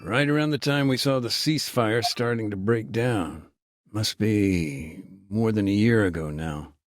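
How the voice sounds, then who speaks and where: An actor playing a middle-aged, war-weary former peacekeeper, speaking plainly with military jargon and dry humor, in a game cutscene. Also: male voice, on the low side, a little slow, lightly acted. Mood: calm. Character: war-weary male ex-peacekeeper telling his story. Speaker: interviewee. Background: music.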